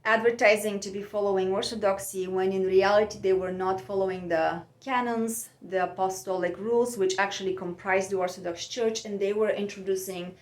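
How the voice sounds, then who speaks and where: A woman from Bulgaria, musing in a soft, meandering way with many pauses and fillers as she hosts her own podcast from home. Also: mid-range voice, steady tone. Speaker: podcast host. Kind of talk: reflective personal monologue. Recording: echoey room.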